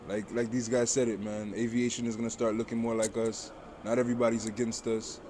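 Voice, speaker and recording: A man's voice: medium pitch, flat delivery, brisk. A middle-aged man from Haiti speaking as a panelist in an emphatic, motivational way, like a personal testimony. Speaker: aviation dispatcher, panelist. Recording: noisy busy venue, vehicle-like ambient noise. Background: loud ambient venue noise.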